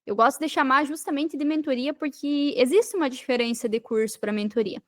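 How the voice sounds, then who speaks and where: High-voiced Brazilian woman, emphatic. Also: fairly quick. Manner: emphatic, repeats phrases for stress. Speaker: podcast guest, digital marketing mentor. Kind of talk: conversational interview, explaining and advising.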